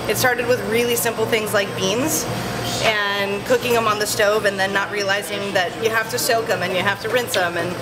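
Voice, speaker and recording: Woman, kitchen co-owner, easygoing storyteller, mid-pitched, music under. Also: fairly even intonation, moderate pace, poor audio. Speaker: bagel chef and co-owner. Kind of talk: personal storytelling interview.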